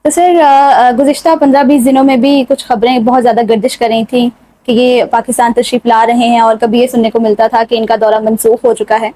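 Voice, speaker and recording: A woman with a Pakistani accent speaks as a talk show host in a slightly high voice. The sound is dry and close, and she frames her questions in a formal interview style. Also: flat delivery, warm tone, no echo, low-quality recording.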